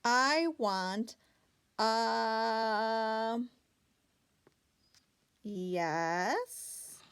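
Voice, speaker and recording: A woman voicing a sneezy, hesitant male elephant from a picture book, halting and drawing words out. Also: fairly high pitch, a bit bright, smooth, voice pushed down, full character voice. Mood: hesitant, struggling. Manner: halting, drawn-out build-ups.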